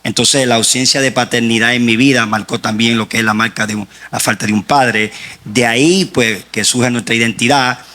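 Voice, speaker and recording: A Puerto Rican man with a sharp, forceful tone shares his testimony as a guest author. He tells his story in an earnest, halting manner at a middling pitch. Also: steady tone. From Puerto Rico. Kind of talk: personal faith testimony.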